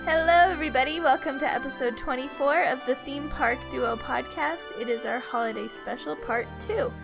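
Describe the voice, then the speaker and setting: Playful woman podcast co-host, fairly high. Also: creaky, over music. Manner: playful, teasing, quick to laugh. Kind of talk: casual chat.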